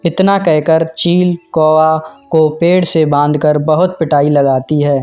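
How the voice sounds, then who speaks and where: A man's voice: on the high side, level tone, unhurried, mellow, highs cut off, faint music behind. A man narrating a scripted children's cartoon story.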